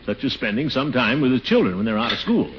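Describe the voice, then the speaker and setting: Actor as a blustery, swooping radio sitcom uncle. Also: male voice, mid-range voice, big pitch swings, quick pace, lightly acted. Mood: self-satisfied. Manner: pompous, blustery, theatrical exclamations. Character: blustery, excitable, fretful middle-aged uncle, pompous small-town man. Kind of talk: 1940s radio sitcom acting. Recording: dull, noisy 1940s radio recording.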